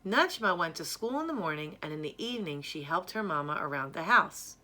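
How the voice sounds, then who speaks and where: Bright-voiced woman reading a children's story. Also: fairly low pitch, animated, brisk. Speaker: storyteller reading a picture book. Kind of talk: read-aloud children's story narration.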